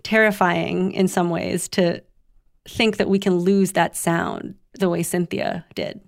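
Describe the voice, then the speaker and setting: A woman's voice: fairly low pitch, fairly even intonation, a little slow, gravelly. A woman from the Philippines hosting a podcast, chatting conversationally and guiding the segments. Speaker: podcast host.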